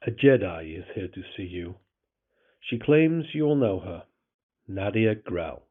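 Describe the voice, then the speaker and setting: A man's voice: low-pitched, big pitch swings, unhurried, narrow, tinny audio, exaggerated voice. A man playing a young Imperial sergeant in an audio drama, deferential and dazed, answering in a robotic way. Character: young male Imperial sergeant, obedient, mind-tricked, dazed.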